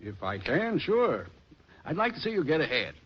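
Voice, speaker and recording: An actor playing a kindly grocery store owner who speaks in an encouraging way, in 1940s radio acting. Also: male voice, a little high, wildly swooping pitch, normal speed, husky, full character voice. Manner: warm, encouraging. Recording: muffled old radio recording.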